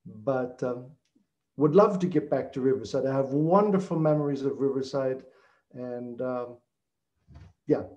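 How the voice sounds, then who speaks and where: Older man with a slight Austrian accent. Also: medium pitch. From Chicago, United States. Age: 60s. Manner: relaxed, unhurried, with frequent ums. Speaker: alumnus guest. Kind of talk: casual video call chat. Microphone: video call. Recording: dull lo-fi video call audio.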